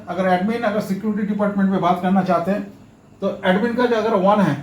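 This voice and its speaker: A man giving a step-by-step tutorial, explaining haltingly and repeating himself, with English terms mixed in. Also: high voice. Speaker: instructor, tutorial presenter. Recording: roomy echo, faint background noise.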